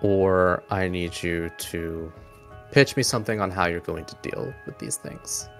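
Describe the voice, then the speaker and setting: A man with a low voice acts as game master for a tabletop session. He improvises scene narration in loose, conversational language and puts choices to players. Music plays behind him. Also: unhurried, gravelly, fairly bright tone.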